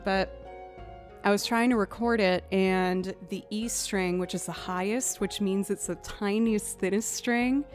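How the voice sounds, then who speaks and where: A young woman with a lower voice, chatting casually with viewers on a music live stream and thinking aloud as she goes.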